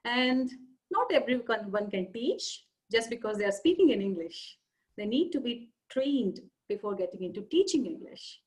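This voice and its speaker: A woman's voice: a little high, big pitch swings, moderate pace, rough recording. A woman hosting an interview over a video call, affirming often and summing up her guest's points. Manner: frequent short affirmations, sums up guest's points. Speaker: interview host. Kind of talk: friendly interview questions.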